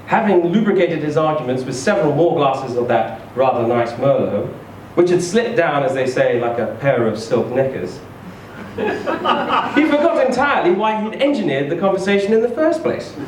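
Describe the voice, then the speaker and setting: A man reading a short story aloud in an expressive, theatrical, emphatic storytelling style. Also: a little high, normal speed. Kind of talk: expressive live literary reading. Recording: echoey room, distant mic, faint noise.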